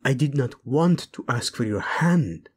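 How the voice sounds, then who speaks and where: A male voice actor playing a brooding young warlord prince who speaks softly and often drops to a whisper to his bride. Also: mid-range voice, animated, a little slow. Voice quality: husky, soft-spoken, often drops to a whisper. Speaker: audio roleplay voice actor. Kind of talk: audio roleplay acting.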